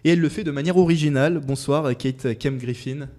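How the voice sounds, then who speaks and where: A man hosting a local radio interview, reading a smooth introduction and asking questions with some hesitation. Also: on the high side, a little fast, darkish tone. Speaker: radio host. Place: radio studio.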